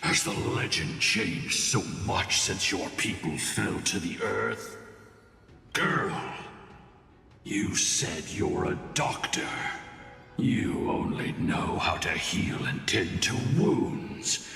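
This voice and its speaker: Game role: ancient hero spirit, very slow and gravelly, echoing from a distance. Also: male voice, lively intonation, sharp tone, heavily acted. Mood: scornful. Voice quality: deep gravelly voice. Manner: slow, solemn, commanding. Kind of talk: video game voice acting. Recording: roomy reverb from afar, faint music.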